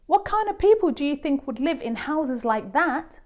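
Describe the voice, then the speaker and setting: Woman putting on a brash, high-pitched schoolboy. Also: big pitch swings, normal speed, darkish tone. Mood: excited. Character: brash, excitable schoolboy, a bit dismissive.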